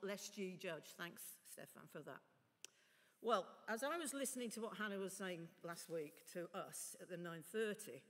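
Woman, husky from a cough, middle-aged, lay preacher, chatty asides, echoing church. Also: medium pitch. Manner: chatty, informal asides to the congregation. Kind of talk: sermon with prayer. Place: large stone church, indoors. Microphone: lectern mic. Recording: long church reverb.

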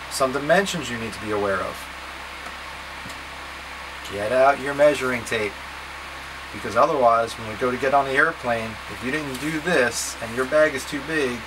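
A man in his thirties giving step-by-step, casually directive instructions to camera as a trip leader, in a plain-spoken way. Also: medium pitch. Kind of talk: instructional packing talk to camera. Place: small wood-panelled boat cabin, indoors. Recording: steady background hum and noise.

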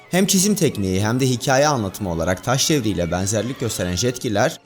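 Lively male countdown narrator over music. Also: mid-range voice. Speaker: video host and narrator. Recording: music bed under voice. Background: background music.

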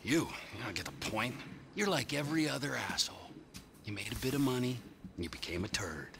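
An actor playing a crude, self-righteous, unhinged criminal with a North American accent in a video game, confrontational and erratic. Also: male voice, mid-range voice, lively intonation, fairly quick, creaky, a bit bright, a little hiss or hum. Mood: scornful, aggrieved. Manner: confrontational, erratic, heavy swearing. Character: volatile psychotic male criminal, crude, self-righteous, needling. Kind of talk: intense video game dialogue.

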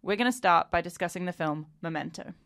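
A woman hosts a casual film chat from a small radio studio. Her voice sits a little low but rings bright and forward. She tells stories in a chatty, excitable way. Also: fast talker, gravelly. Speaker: podcast host.

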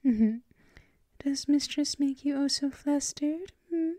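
A woman plays a gentle, dominant girlfriend in an intimate ASMR roleplay. Her voice is fairly high and mellow, moving very slowly between soft murmurs and whispers. She is soothing, affectionate and teasing, close on the microphone. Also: dry recording.

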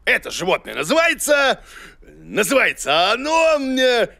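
A man voicing an excitable, pompous, muddled monster teacher in a children's educational cartoon, with a creaky voice. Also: high voice, a little slow, no echo, studio quality, exaggerated voice. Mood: flustered, bossy. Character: blustery, absurd, bossy male monster teacher for children.

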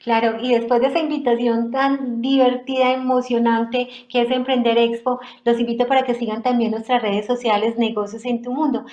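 A woman's voice: on the high side, flat delivery, moderate pace, hardly any pauses, darkish tone, reverberant, lo-fi sound. A woman co-hosting a show, inviting viewers to follow over a video call. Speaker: show co-host. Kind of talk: show host announcement.

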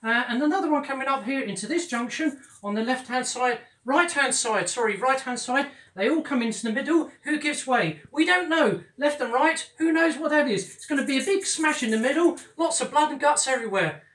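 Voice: high voice, brisk, few pauses, fairly bright tone. A performer voicing a muddled camel driving instructor in a comic skit who keeps mixing up left and right and correcting itself. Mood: flustered. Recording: roomy echo.

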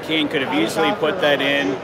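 A man's voice: fairly high pitch, level tone, brisk. A man, casually commenting on a game as a fan, picked up by a companion's phone in a busy arena entrance. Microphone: phone held by companion, slightly off. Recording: very loud urban crowd and traffic noise.

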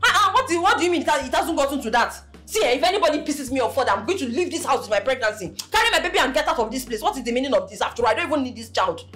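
A woman playing a loud defiant pregnant wife. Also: sits high, lively intonation, very fast, few pauses, soft background music. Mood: ranting. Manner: loud, defiant, dramatic, stammering rants. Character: brash pregnant young wife, loud and threatening. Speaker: actor. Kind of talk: melodrama acting.

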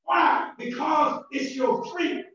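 A Southern American pastor preaches slowly, climbing into shouted emphasis and doubling his words as he builds. His voice sits high for a man. The recording is distant and muffled, and it is swamped by heavy church echo. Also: a faint crowd. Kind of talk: sermon. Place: church sanctuary.

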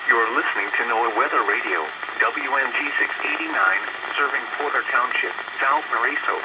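A computer-generated American male voice reading a scripted weather forecast in a flat, formal way over the radio. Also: medium pitch, moderate pace, muffled recording, a loud crowd. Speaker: automated weather radio announcer. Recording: very noisy radio picked up off speaker.

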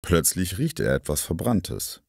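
A man reading a scripted story for language learners with careful, clear diction. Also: deep voice, very animated, measured pace, a little mellow, dry recording, clean studio sound. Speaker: narrator of stories for language learners.

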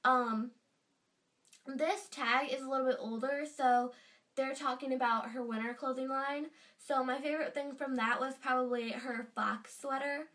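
A woman's voice: high voice, steady tone, unhurried, a bit bright, distant mic. A teenage girl with a stuffy nose from a cold, gushing in a casual fan vlog from her bedroom. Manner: chatty and gushing, lots of fillers. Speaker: vlogger. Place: bedroom indoors. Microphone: webcam at desk distance.

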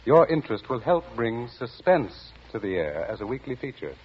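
Animated male vintage radio announcer. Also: medium pitch, brisk, very noisy. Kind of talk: 1940s radio announcing, scripted. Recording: dull 1940 radio recording. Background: music.

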